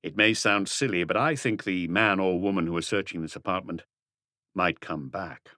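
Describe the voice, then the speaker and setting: Man playing a deep-voiced senior detective giving orders. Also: moderate pace, no echo, clean studio sound. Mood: calm command. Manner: calm, instructing, practical. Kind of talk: audiobook character dialogue.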